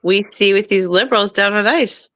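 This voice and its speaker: A woman co-hosting a live stream in a casual chat, loud and talkative and quick to laugh. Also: mid-range voice. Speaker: co-host. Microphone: streaming microphone.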